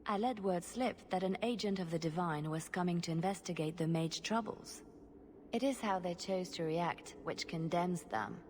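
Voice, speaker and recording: A woman playing a composed, grave secret agent in a fantasy video game, speaking in a measured, deliberate way. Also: lowish voice, a little mellow, a little hiss or hum, lightly acted.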